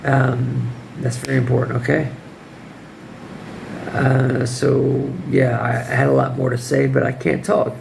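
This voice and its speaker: A man who teaches English gives casual, firm classroom advice in a hoarse voice that keeps giving out, often checking in with the listener. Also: medium pitch, level tone, measured pace, noisy background. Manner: informal, firm, checks in with "okay?". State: hoarse, losing his voice.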